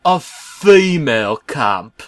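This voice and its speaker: A man voicing a cheerful cartoon builder turned raving fanatic, with a put-on British accent, loud and drawn-out. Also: a little high, very animated, voice pushed up, heavily acted. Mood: sly.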